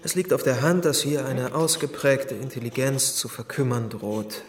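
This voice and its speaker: Man as deliberating senior cleric, radio drama: medium pitch, formal, authoritative, pondering. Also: lightly acted. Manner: pondering, pausing with hums, formal. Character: commanding, deliberating abbot dictating a letter.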